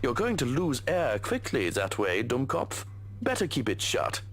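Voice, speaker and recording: An actor playing a menacing, condescending butler villain in a video game, with a mocking manner. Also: male voice, mid-range voice, huge pitch swings, unhurried, a bit bright, heavily acted. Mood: condescending menace. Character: menacing condescending male butler villain strangling his victim.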